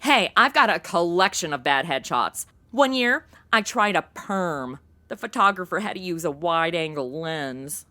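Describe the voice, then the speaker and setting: Bright, sassy modern comic sidekick played by a woman. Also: fairly low pitch, unhurried. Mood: sassy, amused. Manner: casual, sassy, deadpan quips. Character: slangy, goofy modern young female sidekick. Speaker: host and co-lead. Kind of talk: comedy audio drama.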